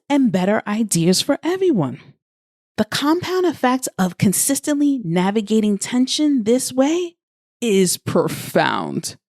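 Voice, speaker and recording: A woman delivering a scripted motivational coaching monologue as a podcast host, phrasing deliberately and emphatically with short pauses. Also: mid-range voice, darkish tone, no echo, clean studio sound.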